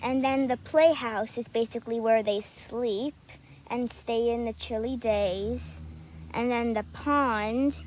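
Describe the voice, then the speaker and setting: A female with a high-pitched voice shares a children's book in a slow, deliberate way, mixed with casual chat. She is recorded outdoors, with insects and nature sounds audible behind her. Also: a little mellow, poor audio. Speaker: co-host reading a children's book aloud. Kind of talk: casual chat and storybook read-aloud. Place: outdoors by a marshy trail. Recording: outdoor recording with clear insect and ambient noise.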